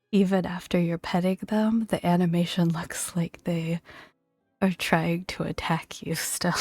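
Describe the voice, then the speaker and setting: A woman doing soft-spoken ASMR game commentary in a breathy, gentle near-whisper, murmuring along without hurry. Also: lowish voice, no room sound. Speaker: video game content creator.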